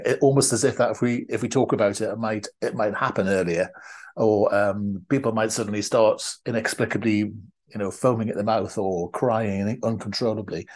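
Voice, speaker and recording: A man's voice: lowish voice, a little mellow. A man from the of England, hosting a podcast over a video call and asking chatty, rambling questions full of restarts. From northeast England. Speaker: podcast host. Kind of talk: casual interview.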